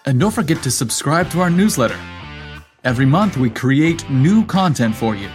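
A man's voice: medium pitch, very animated, normal speed, faint music behind. A man narrating a scripted story as a podcast host, with a playful, cheeky manner.

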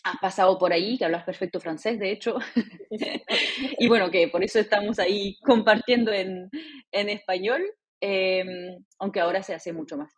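Husky-voiced woman host, second-language speaker. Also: mid-range voice, fairly even intonation, normal speed. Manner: speaks as a second-language speaker, friendly, hesitant with many fillers. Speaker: podcast host. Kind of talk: casual interview chat.

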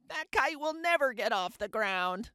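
A man's voice: very high voice, expressive, unhurried, sharp tone, clear, resonant tone, no room sound, studio quality, pitched above the performer's own voice, exaggerated voice. A man voicing a group of small, mocking robins in a storybook reading. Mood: taunting. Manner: taunting.

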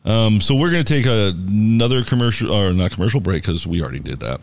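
A man with a very low, deep, booming voice hosts a live radio show. He chats loosely and casually, with plenty of ums and restarts. The tone is relaxed and unhurried. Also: vocal fry, dry recording. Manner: relaxed, rambling with many fillers. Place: bar and restaurant.

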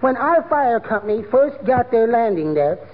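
An actor playing a meek, mild little amateur poet with a timid, precise delivery in 1940s radio comedy. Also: male voice, extremely high pitch, very animated, moderate pace, crowd noise. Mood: meek earnest. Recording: muffled, hissy 1940s radio broadcast.

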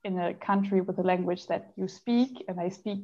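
A woman's voice: medium pitch, fairly even intonation, normal speed, a little mellow, muffled recording. A woman from Germany chatting casually as an interview guest, telling her career story in a rambling way with lots of "like". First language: not French. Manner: chatty, rambling, frequent "like" and laughs.

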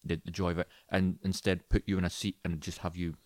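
A man's voice: low voice, little pitch movement, a little fast, a little mellow, dry recording. A man co-hosting a casual podcast, giving his opinions and often acting out imagined reactions. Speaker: podcast co-host and film reviewer.

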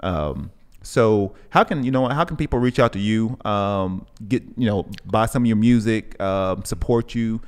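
Low-pitched man, halting and reflective. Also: expressive, clear, resonant tone. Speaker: podcast host, coach. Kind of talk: casual interview chat.

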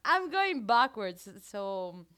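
Slow, bright Colombian woman hosting radio. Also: a little high, sing-song, clear, resonant tone. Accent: Colombian Spanish. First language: Spanish. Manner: halting, searching for English words. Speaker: radio show host. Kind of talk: casual radio chat.

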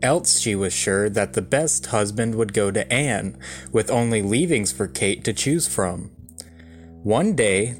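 A man reading a fairy tale aloud as an expressive storyteller, with a wry, dry comic touch. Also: medium pitch. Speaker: storyteller, reader. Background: soft guitar music.

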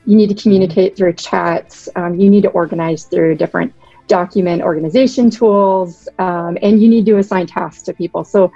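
Mellow-voiced woman podcast guest. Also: lowish voice, fairly even intonation, gravelly, no room sound, highs cut off, faint music behind. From Boston. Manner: articulate, measured explanations. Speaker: guest, product director. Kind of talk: podcast interview.

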